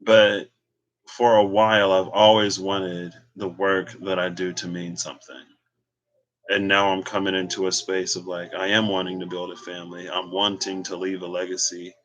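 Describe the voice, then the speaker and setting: A man's voice: low-pitched, level tone, moderate pace, fairly bright tone, lo-fi sound. A man in his late twenties, talking candidly and reflectively while reading cards, laughing now and then. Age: 20s. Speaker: tarot reader. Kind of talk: casual spoken card reading.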